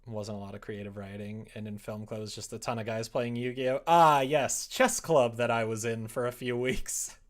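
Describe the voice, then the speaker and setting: A man's voice: mid-range voice, fairly even intonation, moderate pace, few pauses. A young man with an American accent chats casually on a livestream, rambling expressively as he reacts to comments. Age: young adult. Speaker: live streamer. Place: indoor room at a desk.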